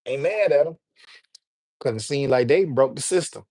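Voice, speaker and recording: A man's voice: medium pitch, lively intonation, normal speed, warm tone, no room sound, studio quality. A man from Alabama, hosting a livestream and chatting casually with his viewers in slangy talk. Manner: loose, slangy, talks straight to chat. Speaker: livestream host.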